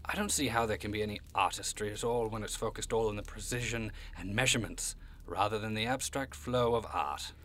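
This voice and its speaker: A man's voice: on the low side, a little fast, sharp tone. A man playing a proud, refined old monster in an audio drama, speaking formally and with condescension. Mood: savouring, macabre. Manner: formal, condescending, stern when offended, savours words. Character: proud, refined old cryptid creature, macabre bone-carving artist.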